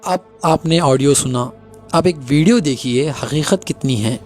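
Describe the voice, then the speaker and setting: Emphatic Hyderabadi man, higher-pitched, over music. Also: a little slow, darkish tone. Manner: emphatic, repeats phrases. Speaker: host of a clarification video. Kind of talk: accusatory address to viewers.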